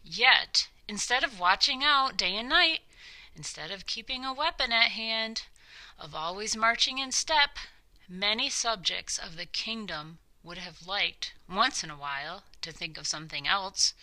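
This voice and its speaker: A woman narrating a children's story from a script, with dramatic, deliberate phrasing. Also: mid-range voice, animated, bright, forceful tone, poor audio.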